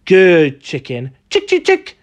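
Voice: medium pitch, wildly swooping pitch, slow, highs cut off. A storyteller voicing an earnest, eager young boy in a storybook, speaking in a matter-of-fact way. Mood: hopeful.

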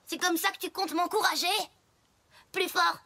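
High-voiced, cocky cartoon pegasus. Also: female voice, steady tone, normal speed, creaky, sharp tone, exaggerated voice. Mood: commanding, excited. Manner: cocky, bossy, emphatic. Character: brash, boastful young female pegasus pony acrobat. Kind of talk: French cartoon dub acting.

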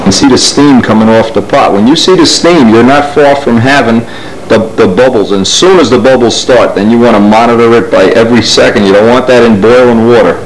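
A man's voice: mid-range voice, fairly quick. A middle-aged man casually explaining a hobby technique while he works at his kitchen stove, filmed on a camcorder. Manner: rambling, practical, talks while doing. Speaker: model builder showing a dyeing technique. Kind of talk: casual how-to narration while working. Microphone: camcorder mic, filming handheld. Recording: echoey kitchen with noisy camcorder hiss.

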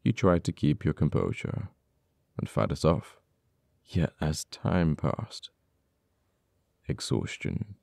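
Man acting a very deep, gravelly, bitter government official. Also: darkish tone, no room sound, studio quality, heavily acted. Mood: cold, gloating. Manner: halting, teasing, dramatic pauses and repeated words. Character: uptight male government official, cold, bitter, mourning lost friend. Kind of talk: ASMR roleplay acting.

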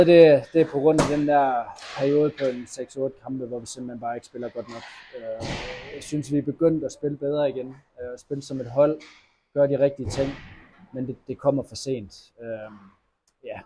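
A young man, a hockey player, answering post-match interview questions in a halting, disappointed way with many fillers and restarts, recorded indoors at an arena press wall. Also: medium pitch, warm tone, a little hiss or hum, low-quality recording. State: disappointed after a loss.

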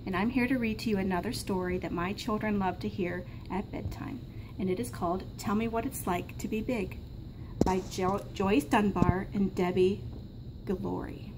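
A woman's voice: medium pitch, fairly even intonation, moderate pace. Woman reading aloud, faint room noise. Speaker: teacher reading to children. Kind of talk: picture-book read-aloud. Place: small classroom, indoors. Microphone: camera a short distance away. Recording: faint classroom background noise.

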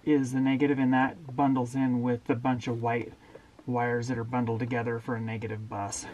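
A middle-aged man walking through a camper wiring upgrade step by step, in a steady, plain-spoken way, recorded in a small indoor space. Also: medium pitch, slight background noise. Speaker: DIY camper owner explaining a wiring upgrade. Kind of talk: hands-on how-to walkthrough.

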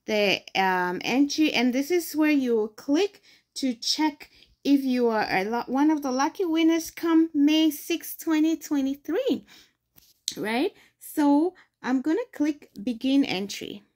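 A woman's voice: high-pitched, expressive, takes it slowly, darkish tone. A young adult woman from Ghana, hosting a screen-recorded phone tutorial and explaining each step patiently. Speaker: tutorial host.

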